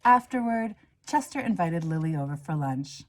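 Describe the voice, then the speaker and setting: Expressive woman reading a children's storybook, lively pitch. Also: normal speed. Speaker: reader, minister. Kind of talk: children's storybook read-aloud.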